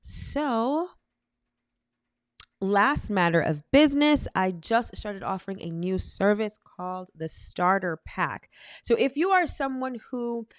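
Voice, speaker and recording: A woman's voice: mid-range voice, animated, slow pace, no room sound, studio quality. A woman hosting a live astrology stream, sounding nasal from a cold and talking chattily to her viewers. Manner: chatty, addresses viewers as "my loves", ends points with "all right?". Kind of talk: upbeat live-stream talk.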